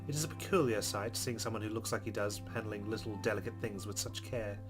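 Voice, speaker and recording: A man's voice: lowish voice, fast, over music. A man reading a visual novel aloud, with wry asides. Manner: wry asides between narration. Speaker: game streamer.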